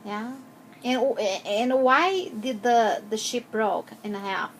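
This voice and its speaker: Gentle higher-voiced woman interviewer. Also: slow pace, a little hiss or hum. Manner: gentle, patient questioning with frequent hesitations. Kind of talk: casual interview with a child.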